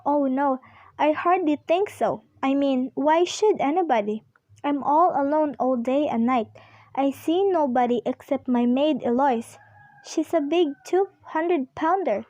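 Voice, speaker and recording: A woman's voice: high voice, a little slow, mellow, no room sound. A woman playing a nervous, demanding, self-pitying invalid wife in an amateur radio play, fretful and complaining. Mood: anxious, pleading.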